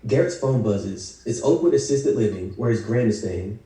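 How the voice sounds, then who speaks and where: A man's voice: fairly low pitch, lively intonation, moderate pace, mellow. A man narrating scripted stage directions for a table read. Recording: echoey room, distant video call mic.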